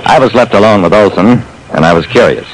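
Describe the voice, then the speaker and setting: A man's voice: on the low side, brisk, lightly acted. An actor playing a male investigator who narrates his own adventure in a theatrical, clipped classic radio style. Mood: intent. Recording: noisy old radio broadcast.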